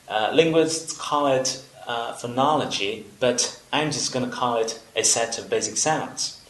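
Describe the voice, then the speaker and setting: A man from Vietnam with a Vietnamese accent, a native Vietnamese speaker, teaching an English lesson in a deliberate, explanatory way with pauses between phrases. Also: medium pitch, fairly bright tone, slight background noise. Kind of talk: instructional video lesson. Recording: roomy, echoing room sound.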